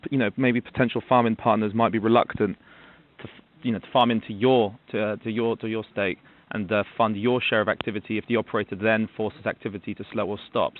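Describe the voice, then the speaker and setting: A man asking an oil company questions on a conference call, polite and somewhat hesitant. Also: on the low side. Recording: tinny phone line.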